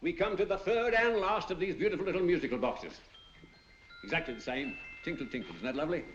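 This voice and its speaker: An actor plays a jovial auctioneer who coaxes bids with salesman patter. His rides a lively, singsong pitch. The old film sound is dull, with music playing beneath. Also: fast, natural voice. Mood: coaxing. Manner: chatty salesman patter, coaxing and chuckling. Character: jovial fast-talking London auctioneer, male, middle-aged. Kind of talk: 1940s film dialogue.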